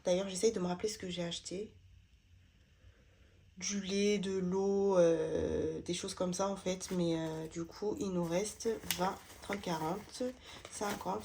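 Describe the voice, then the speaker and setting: A woman's voice: fairly low pitch, creaky, a little mellow. A woman, slightly stuffy from a cold, chatting casually while sorting her budget envelopes, with lots of hesitant fillers. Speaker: budgeting vlogger.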